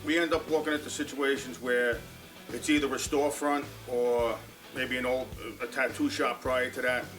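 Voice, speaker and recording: A man's voice: mid-range voice, fairly even intonation, moderate pace, a bit bright. Slightly distant casual man. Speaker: construction man for tattoo shop makeovers. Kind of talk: casual interview talk. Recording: slightly distant mic, faint guitar music. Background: faint guitar music.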